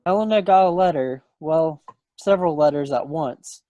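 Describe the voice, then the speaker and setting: A man's voice: fairly high pitch, normal speed, dark tone, highs cut off, natural voice. A man playing a playful, folksy young small-town suitor from the 1940s in a play reading over a video call. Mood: teasing, fond. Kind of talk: stage play table read. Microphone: computer microphone on a video call.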